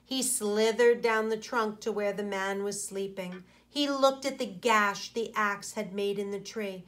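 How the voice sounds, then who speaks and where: A woman in her forties, a teacher reading aloud to children and explaining, with deliberate, pausing phrasing. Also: medium pitch, steady tone.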